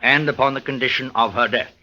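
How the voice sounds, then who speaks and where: An actor playing a formal, probing prosecutor in old radio drama, speaking with precise, deliberate oratory. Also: male voice, mid-range voice, fairly even intonation, sharp tone. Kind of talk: 1940s-50s radio courtroom acting. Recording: muffled old radio recording.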